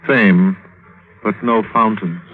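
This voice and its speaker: An actor playing a deferential, ambitious court physician, speaking in a measured, ornate old radio drama manner. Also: male voice, lowish voice, fairly even intonation. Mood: wry, deferential. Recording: tinny, hissy old radio broadcast recording.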